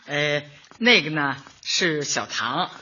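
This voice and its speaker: A woman playing a warm older community Party secretary in a radio drama, chatty and homely, often chuckling and repeating herself. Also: deep voice, animated, slow, dull audio, a little hiss or hum, full character voice. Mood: cheerful, welcoming. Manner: chuckling, hesitant fillers, repeats words in homely colloquial talk.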